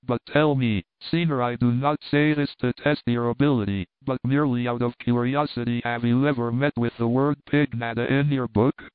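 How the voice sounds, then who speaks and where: A man's voice: medium pitch, steady tone, normal speed, hardly any pauses, clean tone, no room sound, natural voice. A man voicing a courtly, bookish old knight who speaks in elaborate, declamatory phrases. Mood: grandiloquent. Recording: tinny phone-like sound, dry.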